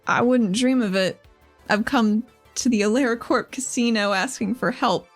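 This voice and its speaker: Woman playing a dry, guarded, higher-voiced mercenary leader. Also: normal speed, soft background music. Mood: dry, defiant.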